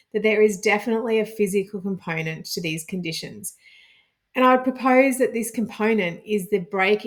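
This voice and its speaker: A woman giving an explanatory health talk, calm and clear, stressing key points as she teaches. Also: medium pitch. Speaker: health educator.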